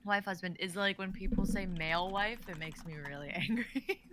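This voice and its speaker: A woman's voice: mid-range voice, moderate pace, very noisy. A woman chatting casually and animatedly, quoting others in mock voices. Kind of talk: casual chat.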